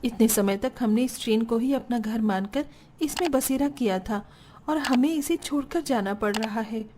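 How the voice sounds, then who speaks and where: A woman acting the devoted, anxious women of a poor household in a melodramatic animated family story. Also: a little high, moderate pace, lightly acted. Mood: bittersweet. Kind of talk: melodramatic Hindi cartoon family drama.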